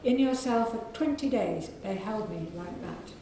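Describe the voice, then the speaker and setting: A woman with a soft, croaky voice, an author reading aloud from her novel to an audience in a library. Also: medium pitch, lively intonation, very echoey, soft background music, rough recording. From England. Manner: measured reading with clearing of the throat.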